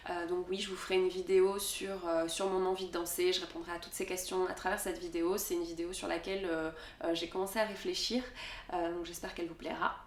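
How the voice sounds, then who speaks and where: A woman's voice: on the low side, little pitch movement, quick pace, recorded from a distance, slight background noise. Thirty-something woman in an echoey room. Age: 30s. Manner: hesitant, many fillers and restarts. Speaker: dance vlogger. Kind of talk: casual Q&A vlog.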